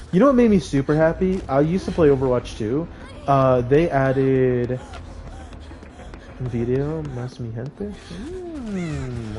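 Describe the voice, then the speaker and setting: A man's voice: medium pitch, darkish tone. A man livestreaming a video game, chatting casually in informal slang and reacting aloud as he plays. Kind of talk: casual gaming stream chat with live reactions. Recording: music playing behind the voice. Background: music.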